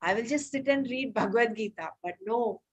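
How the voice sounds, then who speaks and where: A woman leading a casual scripture study group over a video call, explaining terms patiently and reading passages slowly. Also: fairly high pitch. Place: home, remote meeting.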